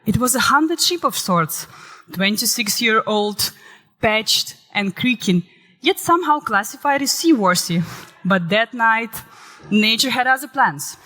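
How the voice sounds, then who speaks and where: A woman, recovering from illness and saving her voice, giving a lively conference talk that shifts into dramatic storytelling. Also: fairly high pitch, a little slow. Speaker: conference speaker. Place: conference hall. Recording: reverberant hall.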